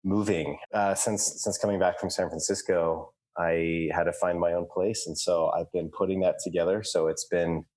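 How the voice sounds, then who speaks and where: A man co-hosting a podcast, talking casually in halting phrases with many restarts. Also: deep voice, fairly even intonation, a little mellow. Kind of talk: casual chat.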